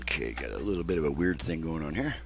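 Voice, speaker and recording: A man's voice: very deep voice, lively intonation, fast talker, vocal fry. A man giving a casual hands-on demonstration as a repair technician, explaining methodically in plain words, step by step. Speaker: radio repair technician, video host.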